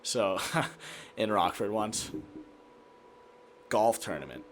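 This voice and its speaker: A man's voice: lowish voice, slow pace, a little hiss or hum. A young American man chatting casually and energetically as an interview guest, often acting out his own thoughts. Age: young adult. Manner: energetic, animated self-quoting. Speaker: guest, TV sports reporter and anchor. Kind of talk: casual chat.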